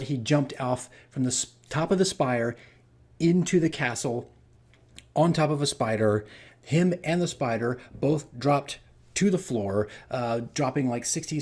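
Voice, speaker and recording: Casting: male game master, medium pitch, slightly husky, casual rambling storyteller recapping for a vlog. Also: darkish tone. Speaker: tabletop game master recounting his campaign.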